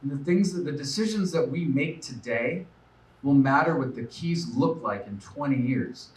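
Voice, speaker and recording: A man's voice: medium pitch, expressive, normal speed, a little mellow. Earnest man speaking in an echoey room. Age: 40s. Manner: earnest, off-the-cuff with many fillers. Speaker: political candidate. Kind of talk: campaign speech at a fundraiser. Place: room at a live event. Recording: roomy echo, speaker far from mic.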